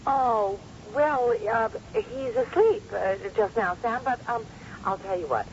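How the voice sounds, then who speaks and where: A woman playing a nervous, evasive scientist's wife in a 1980 radio drama, halting as she offers reassurance. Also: animated. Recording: dull lo-fi radio recording, faint rumbly noise.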